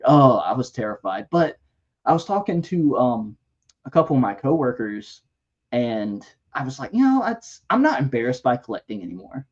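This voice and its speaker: A man hosts a weekly toy livestream, thanking members by name and joking with his co-hosts. His voice is husky and going a little hoarse, with a lively, swinging pitch. The stream audio sounds dull and lo-fi. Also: moderate pace. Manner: lively, jokey shout-outs to members. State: losing his voice. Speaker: livestream host. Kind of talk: casual livestream chat.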